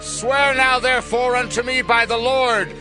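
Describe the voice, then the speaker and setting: A man's voice: very high-pitched, flat delivery, unhurried, pushed and bright, soft background music, exaggerated voice. A man playing a remorseful, humbled king in a dramatized scripture recording, declaiming emotionally in archaic phrases.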